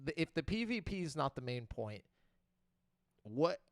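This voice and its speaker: A man's voice: a little high, big pitch swings, unhurried, smooth, dry recording, clean studio sound. A man hosting a casual gaming podcast, talking in a blunt, skeptical, sweary way. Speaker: podcast host.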